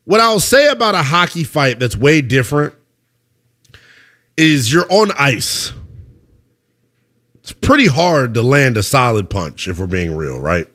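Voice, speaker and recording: A man in his twenties hosting a call-in sports talk show, lively and slangy, laughing often. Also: mid-range voice, unhurried, long pauses. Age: 20s. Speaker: sports radio host.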